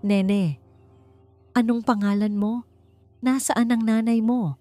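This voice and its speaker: A woman voicing a gentle, caring laundry woman in a story, speaking tenderly and coaxingly. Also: on the high side, fairly even intonation, slow, soft background music, voice pushed up. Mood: tender concern.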